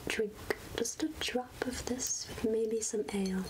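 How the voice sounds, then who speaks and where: A woman playing a secretive woodland witch who sells potions, whispering softly and intimately in an ASMR roleplay. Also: fairly high pitch, steady tone, lightly acted, poor audio. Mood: persuasive, confiding. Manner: slow, deliberate, intimate, addressing the listener as darling. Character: Agatha, secretive woodland witch selling potions, coaxing and darkly kind.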